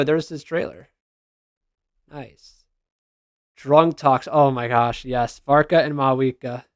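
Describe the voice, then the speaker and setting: Man, slightly high voice, casual gaming commentary, slangy and informal, very dry recording. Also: a bit bright, dry recording. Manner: informal, slangy, reacting aloud to posts. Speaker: gaming content creator.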